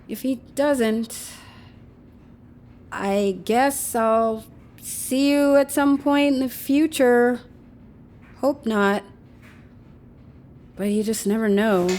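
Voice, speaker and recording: A woman's voice: on the high side, a little mellow, a little hiss or hum. A woman acting in a naturalistic audio drama as a breezy, unapologetic, sardonic woman who teases flippantly. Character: breezy, unapologetic woman with an experimental safety chip.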